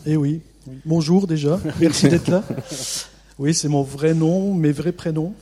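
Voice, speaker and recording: A middle-aged man from the Vosges with a local accent, an author answering interview questions in a relaxed, self-mocking way with many hesitations. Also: fairly high pitch, unhurried. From Vosges, France. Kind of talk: radio interview.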